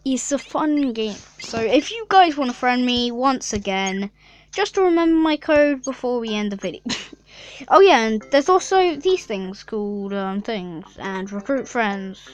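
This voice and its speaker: A woman's voice: fairly high pitch, lively intonation, a little slow. A young female gaming YouTuber chatting casually and rambling through a mobile game, with lots of fillers and giggles.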